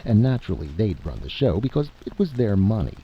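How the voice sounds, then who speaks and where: Male storyteller, low and expressive, dramatic scripted delivery, lo-fi noisy recording. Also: brisk. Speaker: narrator. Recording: dull lo-fi recording with noise.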